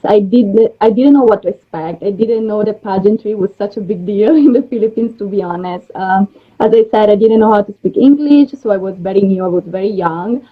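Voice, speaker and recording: A woman's voice: mid-range voice, muffled recording. A young woman raised in Italy, a native Italian speaker, speaking English as a guest in a friendly, easygoing video-call interview. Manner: friendly, easygoing, laughs often.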